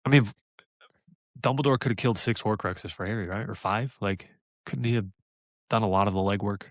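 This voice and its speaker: A fairly low-pitched man chatting on a fan podcast, full of eager ideas and quick laughs. His voice sounds dry and close, with no room around it. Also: no echo. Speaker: podcast co-host. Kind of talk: casual fan chat.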